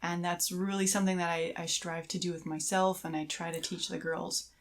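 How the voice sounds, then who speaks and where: A woman's voice: lowish voice, flat delivery, a little fast. A woman co-hosting a podcast in casual chat, speaking in a reflective, encouraging way. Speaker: podcast co-host.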